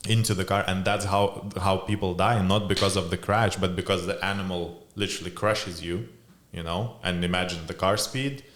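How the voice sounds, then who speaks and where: A man's voice: low-pitched, steady tone, normal speed, hardly any pauses, a bit bright, a little hiss or hum. A man from Lithuania with a Lithuanian accent, speaking English as a second language, who hosts a casual podcast interview and sometimes searches for words and jokes along. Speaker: podcast host.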